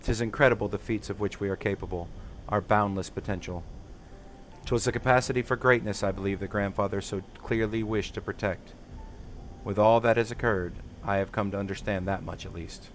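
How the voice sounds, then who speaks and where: A man's voice: lowish voice, fairly even intonation, fairly quick, faint music behind, close to an everyday voice. A man voicing an earnest, determined young female adventurer from a fantasy game, in formal, old-fashioned speech. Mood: remorseful, hopeful.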